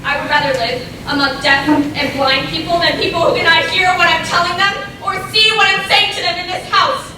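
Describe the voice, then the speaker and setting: In a very echoey, noisy hall, a teenage girl speaks in a very high voice acted by a woman. She is earnest and emphatic, pleading and defiant in a stage drama. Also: fairly even intonation, brisk, hardly any pauses, far from the mic. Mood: anguished, resolute. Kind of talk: stage play acting.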